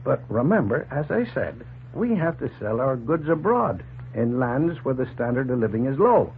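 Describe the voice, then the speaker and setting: An actor playing a plain-spoken, opinionated middle-aged farmer in a folksy, emphatic 1940s radio drama style. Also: male voice, mid-range voice, very animated, moderate pace. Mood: firm, explaining. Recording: noisy, tinny 1940s radio recording.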